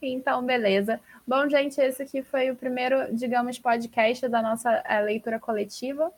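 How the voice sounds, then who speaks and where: A woman with a Brazilian accent hosting a casual, informal book podcast in an animated, slangy way. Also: fairly high pitch, normal speed. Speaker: podcast host. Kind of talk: casual chat about books.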